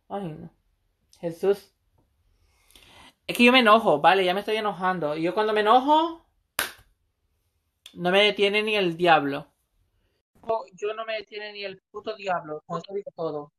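A woman, chatting casually and flirtatiously on a livestream from a small room, with a phone held close. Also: medium pitch. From El Salvador. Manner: brash, flirty, swears freely. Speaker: livestream host. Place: small room indoors, seated on a couch.

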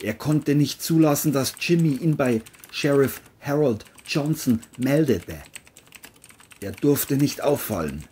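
A man's voice: medium pitch, animated, takes it slowly, warm tone, husky. A man reading scripted narration for an audio crime story, as its narrator.

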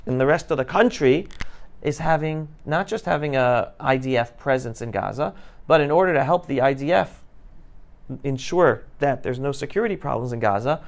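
A man's voice: mid-range voice, lively intonation, normal speed, gravelly. A man in his forties giving an informal, emphatic lecture to students, explaining and checking in with questions. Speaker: guest speaker. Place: school classroom, indoors. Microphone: clip-on lapel microphone.

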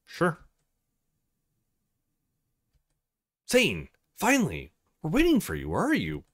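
A man plays a young woman who works at a photo studio in a visual novel. She is casual and breezy, with a very changeable pitch. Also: wildly swooping pitch, normal speed, breathy edge, heavily acted. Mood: impatient.

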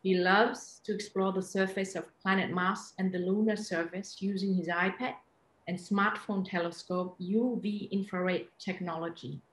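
A woman's voice: medium pitch, steady tone, moderate pace. A woman hosting on a video call, introducing a presenter in an encouraging way. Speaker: host. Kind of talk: introducing a guest. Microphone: laptop webcam, video call. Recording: echoey room over video call.

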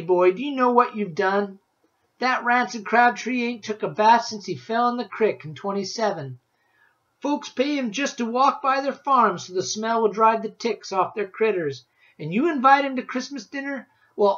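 A man voicing an excitable old grandmother who shouts and scolds in rustic speech, pitched well above his own voice. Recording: dull lo-fi audio.

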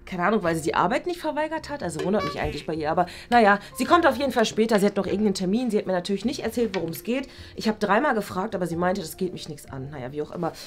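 A woman's voice: mid-range voice, animated, rapid-fire, hardly any pauses, soft background music, natural voice. A woman playing an earnest, chatty, exasperated know-it-all schoolgirl in a kids' comedy sketch. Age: young adult. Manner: fussy, talkative. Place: small classroom.

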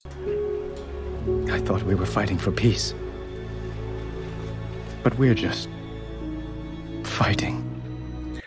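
A man's voice: lowish voice, extremely slow, long pauses, music in the background. An actor playing a young, idealistic king, weary and melancholy, in a cinematic game drama. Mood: weary, sorrowful.

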